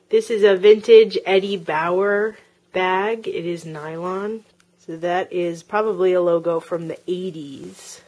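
Slow-talking woman showing sold items. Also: fairly low pitch. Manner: chatty, with frequent ums and uhs. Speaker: online reseller. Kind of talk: casual show-and-tell of sold items. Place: home, tabletop filming. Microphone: handheld camera close-up, speaker off-camera.

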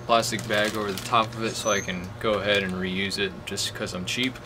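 A man in his twenties with a fairly low voice explains a job in casual how-to vlog style. He is recorded outdoors, with clearly audible background noise around his voice. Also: steady tone, brisk. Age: 20s. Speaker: DIY video host. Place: outdoors beside a shed. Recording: clearly noisy outdoor ambient hum.

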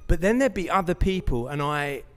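A man's voice: on the high side, animated, fairly quick, a bit bright, long reverb tail. A man hosting a podcast interview, asking casual questions full of fillers. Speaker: podcast host. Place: large bright studio, indoors. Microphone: stand mic.

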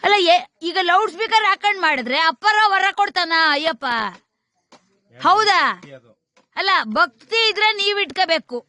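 Very high-pitched woman, rural southern Karnataka accent. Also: moderate pace, pauses often, pushed and bright. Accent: Kannada, rural southern Karnataka. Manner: scolding, repetitive rhetorical questions. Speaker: vlogger. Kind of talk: casual spoken commentary and argument to people on the spot. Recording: live location with crowd noise behind. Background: crowd.

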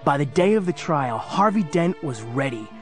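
Higher-pitched male story narrator. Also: big pitch swings, normal speed, soft background music. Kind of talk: dramatic scripted storytelling narration.

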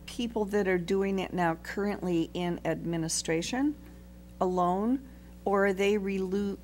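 A middle-aged woman at a school board meeting, asking measured questions into a desk microphone. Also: on the low side, a little mellow, a little hiss or hum.

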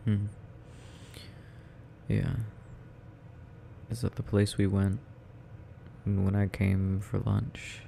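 A man's voice: on the low side, completely flat delivery, very slow, gravelly, pauses often, dark tone, a little hiss or hum, heavily acted. A young man playing a sleepy, needy, affectionate boyfriend in an intimate ASMR roleplay, murmuring drowsily and tenderly close to the microphone. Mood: drowsy, affectionate.

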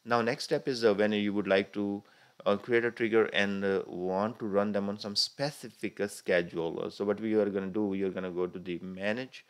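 A man narrating a screen-recorded software tutorial step by step, with many "uh" fillers as he clicks through. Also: fairly low pitch, fairly even intonation, brisk, clear, resonant tone. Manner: frequent "uh" fillers, step-by-step explaining. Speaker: tutorial instructor. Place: voice-over recorded over the screen capture.

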